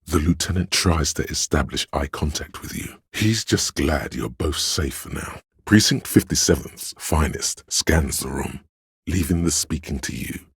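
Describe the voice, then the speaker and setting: A man playing a solemn, all-knowing inner-voice narrator in a video game, with a gravelly, husky voice and a measured, literary delivery. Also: rumbling low, lively intonation, no echo, clean studio sound, heavily acted. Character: omniscient, solemn inner-voice narrator of police camaraderie. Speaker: voice actor narrating a character's inner voice.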